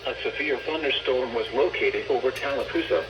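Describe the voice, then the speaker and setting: A man's voice: medium pitch, a little fast, lo-fi sound, music in the background. An American man's synthetic voice reading severe weather bulletins in a formal, stilted way as a radio announcer. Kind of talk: scripted emergency weather alert bulletin. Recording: weather radio speakers, loud sound behind.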